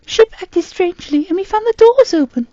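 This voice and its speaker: A man's voice: very high voice, expressive, brisk, mellow, no room sound, muffled recording, pitched above the performer's own voice. A man doing a frightened young girl. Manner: anxious, pleading.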